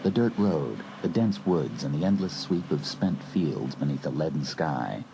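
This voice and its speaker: A man's voice: a bass voice, expressive, a little slow, vocal fry, background noise. A man narrating a scripted suspense story as an audiobook narrator.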